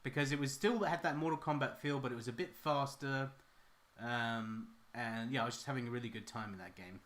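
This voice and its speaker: A man, chatting casually and joking on a live art stream. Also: fairly quick. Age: 30s. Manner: animated, jokey, goes high and loud when quoting people. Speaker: artist and co-host.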